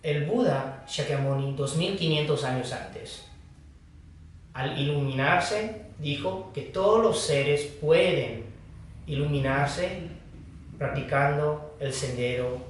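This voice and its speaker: A man who is a Buddhist teacher, giving a dharma talk in a slow, halting manner with pauses and fillers. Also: fairly high pitch, a little hiss or hum. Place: hall of a foundation. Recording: echoey room, distant mic.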